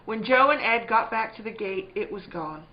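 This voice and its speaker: An actress playing a careworn, protective rural wife, fretful and earnest in plain country dialect, in a radio play. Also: female voice, mid-range voice, lively intonation, moderate pace, lightly acted. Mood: alarmed. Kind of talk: theatrical radio-play acting. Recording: dull, noisy lo-fi recording.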